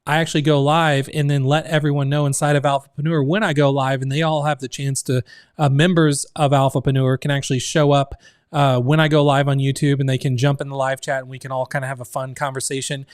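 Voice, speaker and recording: A man's voice: medium pitch, level tone, fast talker, clean tone, no room sound, clean studio sound. A man in his thirties, a community founder, hosting a casual livestream from his home office, talking in a chatty way with many fillers while his voice sounds strained, as if about to give out. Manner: chatty, many fillers, reads out chat comments. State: voice strained, close to losing it.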